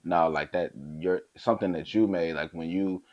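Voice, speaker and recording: Laid back dude hosting an interview, really deep voice, dry dull sound. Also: male voice, moderate pace, a little mellow, no room sound. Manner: easygoing, lots of fillers and short laughs. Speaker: interviewer, host. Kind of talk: casual interview.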